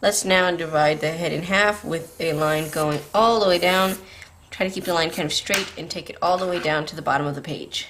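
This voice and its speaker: A woman's voice: low-pitched, brisk, fairly bright tone. A woman hosting a drawing tutorial, explaining patiently step by step, her voice tired and scratchy from a late night. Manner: patient, beginner-friendly explaining.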